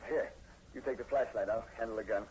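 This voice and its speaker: A man's voice: lowish voice, quick pace, a little mellow, lightly acted. A male actor playing a tough police sergeant, firm and authoritative, in 1940s radio horror style. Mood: urgent. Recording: muffled, hissy 1940s radio recording.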